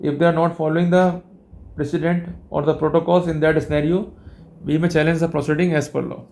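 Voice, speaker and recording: A man with an Indian accent giving a formal instructional lecture on his own, in deliberate, measured phrases with short pauses. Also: a little high, level tone, vocal fry, a little mellow. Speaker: legal educator presenting a lecture.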